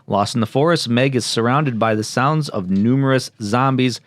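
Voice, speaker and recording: A man's voice: mid-range voice, moderate pace, no room sound. A man co-hosting a podcast, casually reading a plot recap from notes and joking along. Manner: jokey, prone to laughing. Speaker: podcast co-host.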